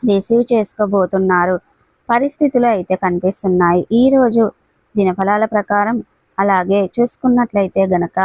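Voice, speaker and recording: A woman with a noticeably creaky voice narrates a daily horoscope. Her pitch is average for a woman, and she words things in a repetitive, roundabout way. The sound is dry and close. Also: a little mellow, no room sound, poor audio. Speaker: astrology channel presenter.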